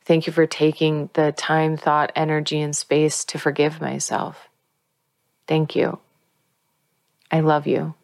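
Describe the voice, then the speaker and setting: A woman leading a spiritual teaching in an earnest, coaching way, slowing down to stress key words. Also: sits low, monotone, gravelly, darkish tone, no room sound.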